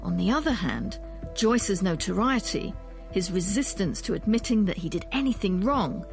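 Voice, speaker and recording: A woman presents a documentary in a measured, questioning and explanatory way. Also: medium pitch, expressive, vocal fry, faint music behind. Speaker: presenter, history professor. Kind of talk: documentary narration and interview.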